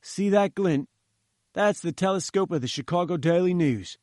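Man doing rough rustic gatekeeper, raised pitch, dry close sound. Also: lively intonation, normal speed, a little mellow, dry recording. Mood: wary, gruff. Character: huge gruff male gatekeeper.

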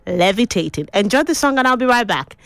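A woman's voice: on the high side, animated, fairly quick, a bit bright, no echo. Nigerian woman hosting a radio show. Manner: conversational, asks listeners questions. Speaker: radio host.

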